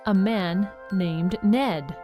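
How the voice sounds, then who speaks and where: A woman's voice: medium pitch, extremely slow. A woman narrating a scripted radio serial like a storybook, speaking directly to the listeners. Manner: storybook recap, addressing listeners. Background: music with jingling bells.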